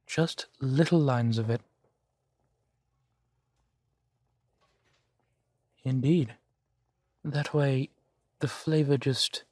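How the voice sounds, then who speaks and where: A man playing a courteous, gently protective vampire gentleman in an intimate roleplay audio drama, with formal, measured phrasing. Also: mid-range voice, no room sound. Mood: hospitable, pleased. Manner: formal, polite, measured phrasing with soft sighs.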